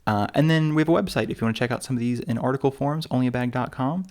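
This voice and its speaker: A man's voice: medium pitch, rapid-fire, darkish tone. A man co-hosting a travel podcast in casual chat, rambling and joking at his own expense. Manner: rambling, self-mocking, full of fillers and restarts. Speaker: podcast co-host.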